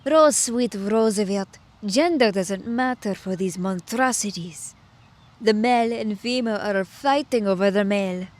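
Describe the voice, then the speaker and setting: A woman's voice: mid-range voice, measured pace, a little mellow, close to an everyday voice. A woman playing a clever, teasing immortal witch in an audio roleplay, with a playful, mock-courteous manner.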